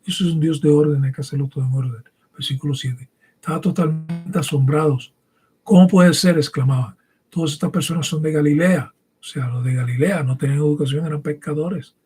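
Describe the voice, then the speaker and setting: A man's voice: fairly high pitch, fairly quick, a little mellow, husky. A man raised bilingual in English and Spanish, preaching a didactic, emphatic teaching sermon and often checking in with short rhetorical questions. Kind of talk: teaching sermon with scripture reading.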